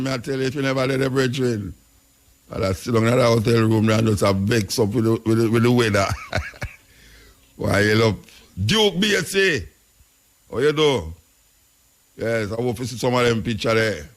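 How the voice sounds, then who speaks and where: Jamaican guy hosting radio, kinda low voice, rambly patois chat with little chuckles. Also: normal speed, pauses often. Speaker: radio host. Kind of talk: casual talk radio commentary.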